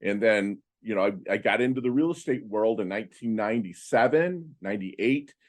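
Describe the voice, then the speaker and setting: A man of fifty speaks as a guest on a podcast, his voice sitting a little low. He tells his story in a loose, animated way, full of fillers and restarted phrases. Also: moderate pace. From Philippines. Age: 50s. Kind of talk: casual interview talk.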